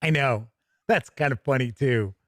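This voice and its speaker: A man hosting a tabletop gaming livestream, chatting casually with viewers in a folksy, jokey, animated way. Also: mid-range voice, measured pace, no room sound, clean studio sound.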